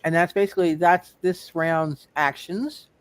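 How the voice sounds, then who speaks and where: Higher-voiced man, halting delivery. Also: steady tone, clean tone, no echo. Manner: halting, repeats and restarts phrases. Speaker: game master. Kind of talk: live tabletop superhero roleplay game.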